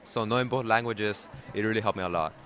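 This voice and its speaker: Fast-talking young man, hesitant. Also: fairly low pitch, fairly bright tone, a little hiss or hum. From Mexico. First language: Spanish. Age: young adult. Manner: hesitant, many fillers and restarts. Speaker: graduating high school student.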